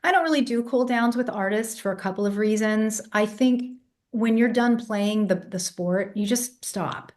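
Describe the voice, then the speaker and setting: A woman's voice: medium pitch, normal speed. A woman raised in England, a vocal coach telling stories in a casual interview, sounding congested. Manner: animated storyteller, demonstrates vocal sounds. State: congested, voice less clear than usual.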